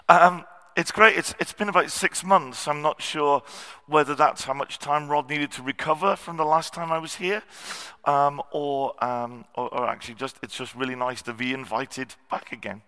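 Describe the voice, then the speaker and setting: Husky male preacher, bright tone, long hall reverb; self-deprecating, halting delivery. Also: on the high side. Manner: self-deprecating, frequent ums and throat clearing. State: slightly husky voice. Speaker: guest preacher. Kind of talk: sermon. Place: church hall.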